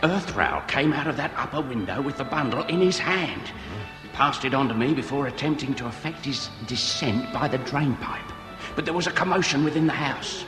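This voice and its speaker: An actor playing a wordy, principled hired burglar, deferential yet stubborn, in a comic period radio drama. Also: male voice, mid-range voice, expressive, normal speed, natural voice. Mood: respectful, grave. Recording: echoing cathedral-like hall, music behind.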